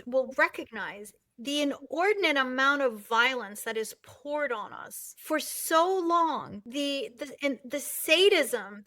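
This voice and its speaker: High-voiced woman guest, intense and emphatic, dry close mic, from palestine. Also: dry recording. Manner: forceful, emphatic, repeats words for emphasis. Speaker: guest, novelist and activist. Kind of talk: impassioned interview talk.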